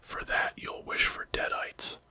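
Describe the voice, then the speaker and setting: A man voicing a haughty, vengeful alien villain in a snarling, breathy whisper for an ASMR story. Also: measured pace, bright, forceful tone. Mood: enraged contempt. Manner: snarling, contemptuous.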